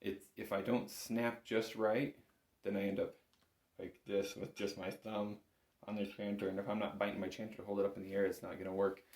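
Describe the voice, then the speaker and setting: Low-pitched man teaching, in a roomy space. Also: level tone, a little fast, darkish tone. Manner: informal, instructive, counting out notes. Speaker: bagpipe instructor. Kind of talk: step-by-step music lesson.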